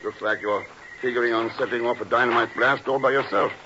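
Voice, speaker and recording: An actor playing a suave, wry secret agent with a clipped questioning manner, in 1950s radio style. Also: male voice, mid-range voice, steady tone, a little fast, bright, forceful tone, a little hiss or hum, close to an everyday voice. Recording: dull 1950s radio recording.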